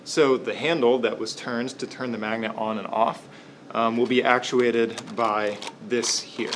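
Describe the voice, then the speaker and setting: A young man engineering student methodically explaining how a prototype fits together, with some hesitant pauses. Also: medium pitch, steady tone, background noise. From United States. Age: young adult. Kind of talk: casual explanation.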